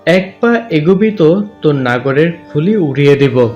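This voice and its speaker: A man's voice: on the high side, lively intonation, muffled recording, soft background music, natural voice. A man playing a vicious kidnapper villain in an audio story, gloating and threatening in a menacing way. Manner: slow, menacing delivery. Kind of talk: dramatized audio story acting.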